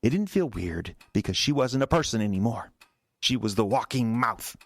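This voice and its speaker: An audio drama killer, a husband making his confession. He rambles and stammers, defensive and agitated, with a creaky, gravelly edge to his voice. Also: mid-range voice, expressive, moderate pace, dry recording. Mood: cold, bitter.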